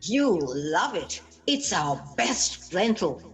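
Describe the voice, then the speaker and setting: A woman's voice: fairly low pitch, sing-song, takes it slowly, faint music behind. An older woman in an amateur audio play acting a persuasive car rental agent giving sales patter.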